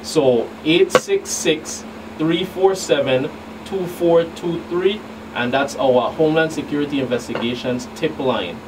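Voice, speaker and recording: A middle-aged man speaking haltingly and deliberately as a guest agent on a radio show. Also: on the high side. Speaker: federal agent, guest expert. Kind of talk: informational radio guest talk. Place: small indoor radio studio. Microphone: boom-mounted studio microphone. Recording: noisy, slightly distant studio sound.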